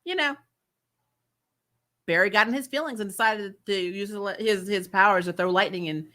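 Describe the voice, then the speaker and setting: A woman's voice: medium pitch, normal speed, bright, forceful tone. A woman giving a casual, opinionated TV recap as a host, blunt, sweary and exasperated, stressing points by repeating herself. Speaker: host of a TV show recap channel. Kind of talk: casual opinionated review chat.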